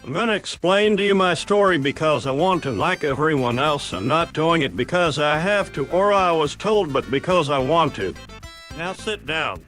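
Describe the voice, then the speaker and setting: A man's voice: on the high side, animated, normal speed, a bit bright, soft background music. A man voicing a patient, preachy frog mentor who lectures and explains in an amateur cartoon comic dub. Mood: stern, lecturing.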